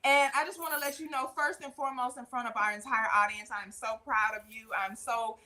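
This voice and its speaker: Bright, high-pitched woman hosting. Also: steady tone, fairly quick. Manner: emphatic, repeats phrases for effect. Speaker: talk show host. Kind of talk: conversational talk show monologue and introduction.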